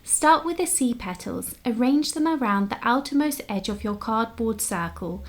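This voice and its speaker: A woman with a fairly high-pitched voice narrates a scripted how-to for crafters. She explains things step by step, in an instructional style that is easy to follow. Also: animated, normal speed. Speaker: craft tutorial presenter.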